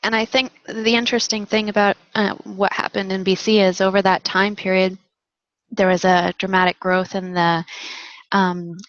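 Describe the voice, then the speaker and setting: A woman's voice: medium pitch, flat delivery, normal speed, no echo. A woman presenter who is losing her voice, answering webinar questions with fillers and restarts. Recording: slightly dull lo-fi audio.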